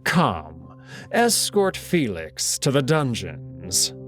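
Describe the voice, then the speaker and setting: A man voicing a furious old wolf ruler in a visual novel, giving slow, forceful, menacing commands. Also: mid-range voice, huge pitch swings, over music, full character voice. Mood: stern, commanding.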